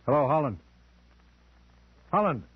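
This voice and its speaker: A man's voice: on the high side, sing-song, unhurried, breathy edge, dry recording, exaggerated voice. A wry, hard-boiled hero of a vintage radio crime show. He speaks in a dry, flippant way, heard through a dull old recording. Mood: brusque. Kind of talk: 1940s-50s radio crime acting.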